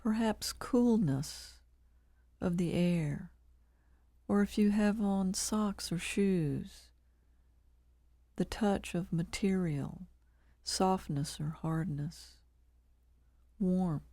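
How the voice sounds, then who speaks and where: Female meditation guide, lowish pitch, unhurried delivery in short phrases with long pauses, calm and measured, dry close sound. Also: no room sound. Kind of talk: guided body scan meditation.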